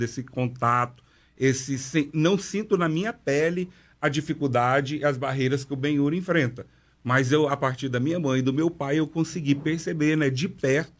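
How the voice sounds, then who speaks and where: A man who teaches and interprets sign language, answering questions in a radio interview in a measured, explanatory way and often adding "né" as he talks. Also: mid-range voice. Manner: measured explanatory delivery with frequent "né". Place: radio studio.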